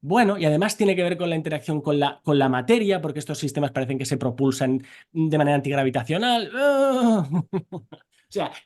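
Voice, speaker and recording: A man in his forties from Barcelona, a scientist answering interview questions in an exuberant, joking way with rapid tangents. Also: fairly high pitch, lively intonation, a little mellow. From Barcelona, Spain. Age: 40s. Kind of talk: animated conversational interview.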